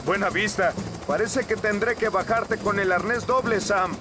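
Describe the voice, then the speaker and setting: A man's voice: sits high, normal speed, background noise, lightly acted. A man with a Latin American Spanish accent voicing a friendly, good-natured grown man in a children's cartoon dub. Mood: helpful. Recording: music under the dialogue.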